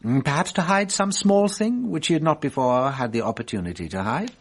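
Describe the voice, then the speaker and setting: French sleuth, man, husky, animated, self-assured, deliberate hums, radio drama. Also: on the high side. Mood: musing, animated. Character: shrewd, self-assured French male detective, wryly amused.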